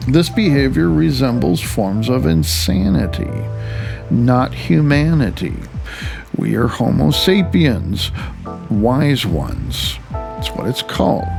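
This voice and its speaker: A man's voice: medium pitch, sing-song, slow, vocal fry. A man reading his own nonfiction audiobook in a wry, conversational way. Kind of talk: audiobook narration of personal essay. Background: music.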